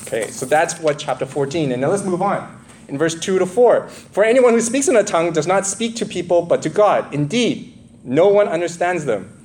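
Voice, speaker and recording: Male preacher, native English speaker, very lively intonation, emphatic teaching, rhetorical questions, faint background noise. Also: on the high side, normal speed. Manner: asks the congregation questions, frequent check-in tags like "right?" and "okay?". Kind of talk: expository sermon.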